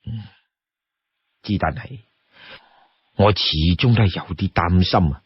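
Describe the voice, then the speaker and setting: A man voicing a cautious, deliberate chief of an outlaw band in a storybook tale. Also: sits low, husky, dry recording, lo-fi sound, pitched below the performer's own voice, exaggerated voice. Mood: worried, hesitant.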